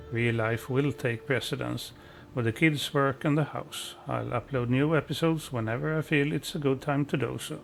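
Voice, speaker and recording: A calm, modest man with a Swedish accent, from Sweden, giving self-deprecating gameplay commentary as a let's play host. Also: mid-range voice, gravelly.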